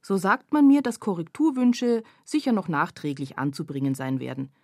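A woman's voice: on the low side, normal speed, dark tone, no room sound, clean studio sound. An actress playing a sardonic, indignant woman observer in a scripted literary radio play. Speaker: performer in a literary audio piece. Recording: music behind the voice.

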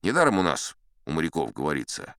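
An actor playing an old sea captain telling boastful tall tales, in expressive, theatrical audiobook storytelling. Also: male voice, a bass voice, fast, vocal fry, dry recording, clean studio sound, exaggerated voice. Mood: wistful, savouring.

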